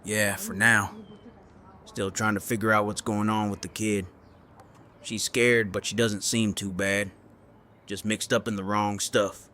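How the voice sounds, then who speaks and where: A man acting a gruff, loyal survivor in an audio drama, terse and plainspoken. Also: lowish voice, flat delivery, measured pace, pauses often, sharp tone, a little hiss or hum. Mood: matter-of-fact. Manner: terse, understated.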